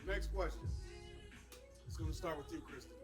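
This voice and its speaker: A man's voice: fairly high pitch, moderate pace, music in the background. A man hosting a podcast in casual chat, with a teasing, slangy way of talking. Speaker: podcast host.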